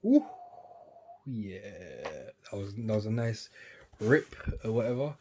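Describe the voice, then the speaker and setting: A young man, casually chatting and rambling through an unboxing on his vlog, often trailing off quietly between livelier bursts. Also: lowish voice, very animated, slow pace, a little hiss or hum. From United Kingdom. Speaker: sneaker YouTuber.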